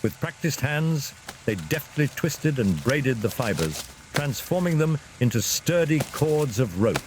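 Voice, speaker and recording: A man's voice: mid-range voice, sing-song, unhurried, noisy background. A man narrating a scripted fantasy story in a dramatic storytelling style.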